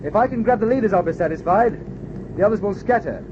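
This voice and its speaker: A man's voice: high voice, animated, quick pace. An actor playing a polite, resolute man who is coolly willing to take risks, in a mid-century radio adventure serial. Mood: cool, determined. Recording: muffled, noisy old radio recording, engine drone.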